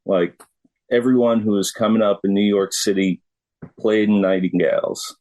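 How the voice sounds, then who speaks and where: A middle-aged American man answering questions in a casual interview, speaking in an unhurried, halting way. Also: on the low side, fairly even intonation, a little mellow. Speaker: interview guest, drummer.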